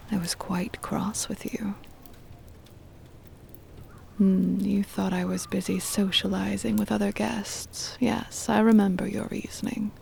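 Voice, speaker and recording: A woman playing a possessive, doting aristocratic vampire mistress in an ASMR roleplay, whispering breathily in a slow, formal, soothing manner close to the microphone. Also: medium pitch, mellow, a little hiss or hum. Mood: teasing reproach. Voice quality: breathy whisper with creaky fry.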